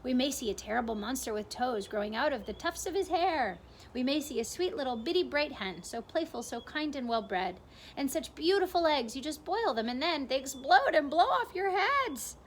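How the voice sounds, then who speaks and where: A woman reading aloud and voicing an excitable, boastful male centipede reciting in a sing-song rhyme. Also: high-pitched, normal speed, a little hiss or hum, voice pushed up. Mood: excited, sing-song.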